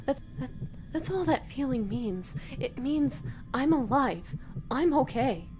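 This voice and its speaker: Woman doing a scared shaky sister in a horror story, high voice, stammers, panicking. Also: expressive, slow, background noise. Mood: shaken. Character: Penny, shaken frightened young sister in horror tale.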